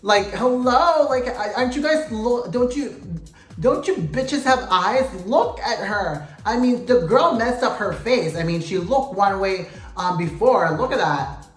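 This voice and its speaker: A chatty pageant vlogger dishes on the results with plenty of sass and gossip, talking straight to the viewers. The voice sits at a middling pitch and bounces off a roomy indoor space, with faint music underneath. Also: animated, a little slow. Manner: sassy, gossipy, addresses viewers as "you guys" and "queens". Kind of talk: casual recap commentary to camera.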